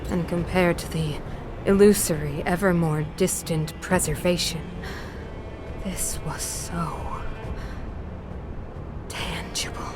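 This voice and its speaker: A woman's voice: fairly low pitch, fairly even intonation. A woman voicing a regal, obsessed ruler and mother turned villain in a video game, grandiose and theatrical. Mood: solemn, brooding. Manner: slow, grandiose, theatrical, breathy pauses. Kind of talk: dramatic video game acting. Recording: loud music under the voice. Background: dramatic game music.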